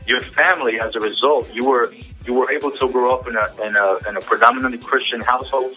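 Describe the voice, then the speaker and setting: A male host speaks in a husky yet bright voice. He interviews conversationally, often repeating the start of a phrase before moving on. Faint music runs behind the phone interview. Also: medium pitch, steady tone, low-quality recording. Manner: halting, repeats phrase openings.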